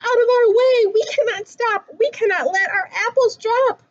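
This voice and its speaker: A young adult woman reading a rhyming picture book aloud to children in an expressive, singsong way. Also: very high-pitched, moderate pace, muffled recording. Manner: animated, singsong rhyming delivery. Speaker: children's story reader.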